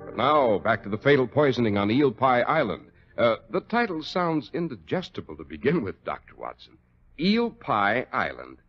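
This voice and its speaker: A man's voice: mid-range voice, sing-song, normal speed. A man with an American accent playing a genial, polished announcer and emphatic salesman in a scripted 1940s radio show. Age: young adult. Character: genial announcer visiting an old doctor. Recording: dull, lo-fi 1940s radio recording.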